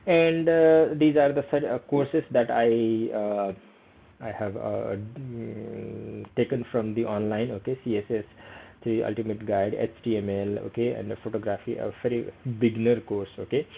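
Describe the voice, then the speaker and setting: Gravelly-voiced man with a Nepali accent. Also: lowish voice, level tone, normal speed. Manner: hesitant, many fillers, frequent "okay". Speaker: vlogger. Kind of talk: screen-share tutorial. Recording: tinny phone-like audio.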